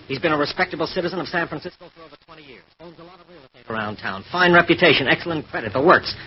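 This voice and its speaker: A blustering, exasperated American police boss, played by a man in an old radio drama. His highish voice swings widely as he barks slangy orders, on a dull recording. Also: lively intonation, racing, close to an everyday voice. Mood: angry. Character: gruff, exasperated male police detective boss. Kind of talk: 1940s radio drama acting.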